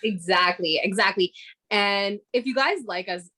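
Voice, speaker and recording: This is a woman in her thirties co-hosting a podcast. She talks casually with plenty of "um"s and laughs, and her voice sits at an ordinary middle pitch. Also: measured pace, sharp tone. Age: 30s. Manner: giggly, chatty, lots of fillers. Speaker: podcast co-host. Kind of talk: casual chat.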